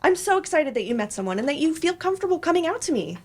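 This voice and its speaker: Woman reading the part of a bubbly supportive bestie in a cafe play, real high voice, bouncy and all over the place. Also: very animated, racing. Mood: happy, supportive. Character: supportive, excitable best friend in a cafe.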